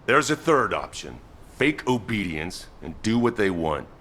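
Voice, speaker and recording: Low-voiced gruff squad commander. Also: male voice, big pitch swings, unhurried, bright, forceful tone, full character voice. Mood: firm, pragmatic. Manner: blunt, commanding. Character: gruff, pragmatic male squad commander giving orders. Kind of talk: video game voice acting.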